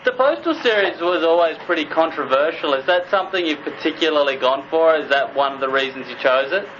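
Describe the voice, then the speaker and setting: A man's voice: on the high side, brisk. Man interviewing over noisy audio. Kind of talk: casual interview. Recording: noisy, slightly dull line.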